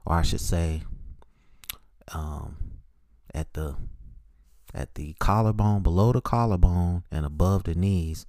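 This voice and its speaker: A middle-aged man hosting a solo podcast, giving his sports opinions in an informal, measured, opinionated way. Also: sits low, dark tone, no echo, clean studio sound. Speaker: podcast host.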